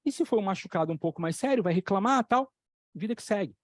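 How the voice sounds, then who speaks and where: A man talking as a psychoanalytic lecturer, telling stories with lively examples and repeating key phrases for emphasis. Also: high-pitched, quick pace, no room sound. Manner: animated storytelling, acting out voices in the examples. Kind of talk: explanatory talk on a video channel.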